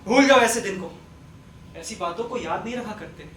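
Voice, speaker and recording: A kindly middle-aged father, acted by a man in a stage play. He speaks in a measured, forgiving and reassuring manner. The recording is echoey and distant, with faint noise behind. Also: sits high, animated, a bit bright. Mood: concerned, hopeful. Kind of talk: Hindi stage play acting.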